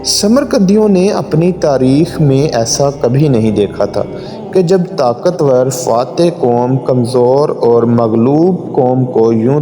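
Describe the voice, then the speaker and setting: A man giving a solemn, measured, dramatic narration of a moral story from a script. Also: medium pitch, mellow. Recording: long hall reverb, music underneath. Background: background music.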